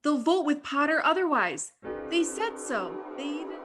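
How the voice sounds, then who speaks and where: A woman's voice: sits high, very animated, moderate pace, music in the background. A woman playing an urgent, emphatic company board messenger in an old-time radio play.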